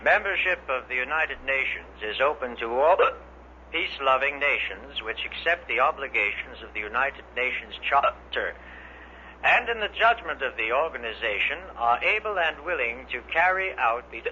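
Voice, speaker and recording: Bright, forceful 1950s American radio man. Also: mid-range voice, lively intonation, normal speed. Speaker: radio announcer. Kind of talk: 1950s radio announcing, read from script. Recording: dull noisy 1950s radio recording.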